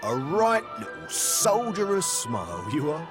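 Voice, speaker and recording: Slangy British gang boss, swooping pitch. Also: male voice, huge pitch swings, measured pace, over loud music, full character voice. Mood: admiring. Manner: slangy, blunt, gruffly fond. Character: rough-and-ready male gang boss, gruffly affectionate. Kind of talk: video game cutscene voice acting.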